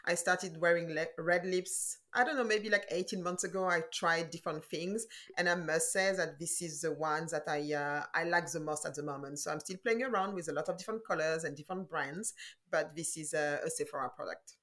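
Low-voiced French woman in her forties. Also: a little fast, fairly bright tone. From France. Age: 40s. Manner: thoughtful, with frequent fillers and restarts. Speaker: guest entrepreneur. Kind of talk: casual interview conversation.

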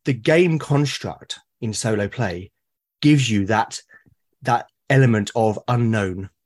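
A man's voice: lowish voice, slow, darkish tone. A man from Britain, a podcast guest explaining his ideas with enthusiasm and emphasis. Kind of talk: conversational interview.

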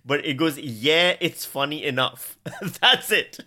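A man's voice: a little high, lively intonation, takes it slowly, sharp tone. A man talking as a podcast guest in casual, joking banter.

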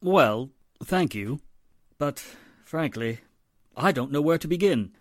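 A man's voice: a little high, expressive, slow, no room sound, clean studio sound. A man playing an apologetic older society patriarch in 1940s radio style, formal, courteous and hesitant. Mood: puzzled, hesitant. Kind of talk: 1940s radio drama acting.

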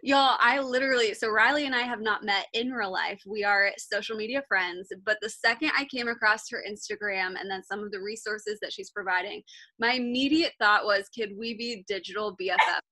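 A woman's voice: mid-range voice, brisk, no echo. A woman hosting a faith podcast, gushing with praise and chatting casually. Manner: gushing, warm-hearted praise, filler "like". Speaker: podcast host.

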